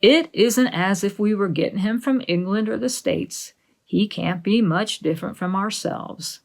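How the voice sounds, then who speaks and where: Woman playing a measured, gravelly, practical farm woman. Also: medium pitch. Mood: resigned, steady. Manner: measured, matter-of-fact. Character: stern, practical, resigned middle-aged farm woman.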